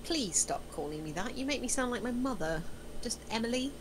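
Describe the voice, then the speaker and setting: A woman's voice: a little high, expressive, fairly quick, natural voice. Lady acting exasperated skeptical woman in a script read, noisy mic. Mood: guarded. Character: skeptical, exasperated woman pulled into a crime scheme. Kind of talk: screenplay table read.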